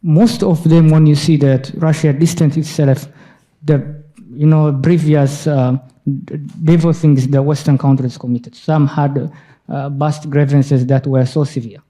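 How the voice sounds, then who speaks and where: A man from Somalia in the audience, speaking haltingly with many fillers and restarts during a lecture's question time. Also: a little high, flat delivery, mellow, low-quality recording. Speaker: audience member asking a question. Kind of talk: lecture Q&A comment.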